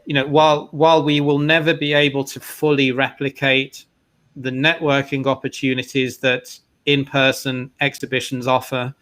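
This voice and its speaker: A man's voice: mid-range voice, level tone, moderate pace, fairly bright tone. A man hosting a virtual trade fair over a video call, chatting casually with plenty of "you know" fillers and restarts. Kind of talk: casual spoken chat.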